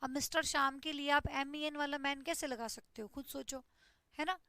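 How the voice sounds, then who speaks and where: A woman's voice: high-pitched, fairly even intonation, normal speed, bright, forceful tone, dry recording. A woman teaches an online English grammar class, explaining step by step and checking in with "ठीक है". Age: 20s.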